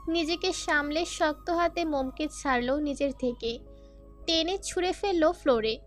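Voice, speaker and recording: A woman's voice: high-pitched, moderate pace. A woman narrator reading a scripted dramatic story. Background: faint music.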